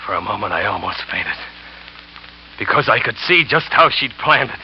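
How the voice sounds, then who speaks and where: A man's voice: fairly low pitch, very animated, normal speed, slight background noise. An actor playing a desperate man who narrates his own failed murder plot in a 1940s radio drama, with tense, driven narration. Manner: tense first-person narration, rising to panic. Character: desperate man, scheming lover turned trapped victim. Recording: muffled old radio broadcast with music.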